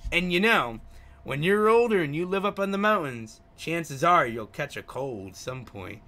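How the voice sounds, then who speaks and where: A man voices a chatty male driver from a visual novel in a bright, lively voice. He is gossipy, a bit sheepish and rambling, and he trips over the odd word. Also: on the high side, a little slow, heavily acted. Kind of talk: reading visual novel dialogue aloud.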